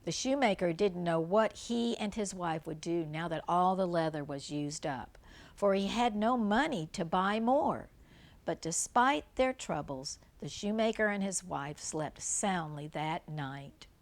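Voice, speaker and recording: Older female storybook reader, grandmotherly, slow delivery, animated intonation, simple asides to a young listener. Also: lowish voice. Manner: simple explanations, gentle questions to a young listener. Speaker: grandmother reading a storybook to children. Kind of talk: read-aloud children's storybook with chatty asides.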